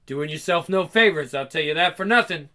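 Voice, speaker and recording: A man's voice: a little high, animated, fairly quick, pushed and bright. A man voicing a sadistic, foul-mouthed villain with a menacing, taunting, crude delivery.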